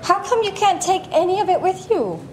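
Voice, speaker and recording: Woman acting high-voiced exasperated scolding mother. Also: very animated, fairly quick. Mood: distracted, curt. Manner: blunt, dismissive. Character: exasperated, scolding Cambodian immigrant mother, older. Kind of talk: staged play reading. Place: large glass-walled public hall, on stage. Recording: live stage microphone with background noise.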